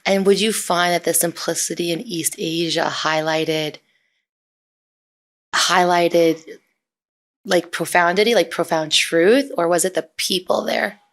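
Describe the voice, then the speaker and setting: A woman's voice: fairly low pitch, a little slow, fairly bright tone. A woman interviewing a guest in a conversational way, asking thoughtful, inquisitive questions. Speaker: podcast interviewer.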